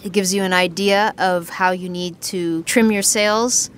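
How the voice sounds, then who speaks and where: A woman's voice: on the low side, a little slow. A woman in her thirties explaining sailing basics in a casual, practical way, outdoors on a sailboat with a handheld mic. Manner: explains with hand gestures, relaxed and practical. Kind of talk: casual explanatory talk to camera. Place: outdoors on the deck of a sailboat at sea. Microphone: handheld furry lavalier held near the mouth. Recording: faint wind and water noise.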